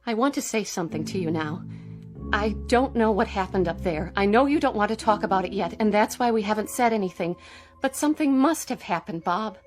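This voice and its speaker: An actress playing an astronaut's concerned American wife in a radio drama, gentle and reassuring in her manner. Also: mid-range voice, expressive, brisk, soft background music, lightly acted. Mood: worried, soothing.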